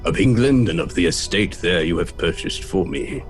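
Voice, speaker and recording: An actor playing an ancient aristocratic vampire count in a horror audio drama, formal and ominously polite. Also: male voice, deep voice, lively intonation, moderate pace. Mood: ominously courteous. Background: music.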